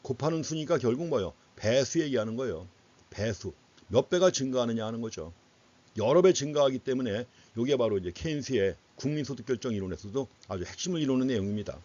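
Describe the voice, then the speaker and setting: A man's voice: medium pitch, animated, fast talker, a little mellow. A man giving an explanatory economics lecture in a didactic way, putting questions to students and repeating key phrases for emphasis. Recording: dull, lo-fi lecture audio.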